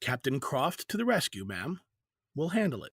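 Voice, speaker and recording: A man playing an easygoing, playful husband with a husky voice in a fantasy audio drama. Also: mid-range voice, very animated, normal speed, a bit bright, dry recording, studio quality, exaggerated voice. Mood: easygoing, placating.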